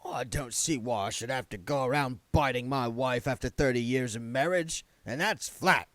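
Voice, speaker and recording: A man's voice: medium pitch, normal speed, fairly bright tone, dry recording, close to an everyday voice. A man voicing a blunt, gloomy older working-class man, a reluctant vampire count, in a book read aloud. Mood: indignant.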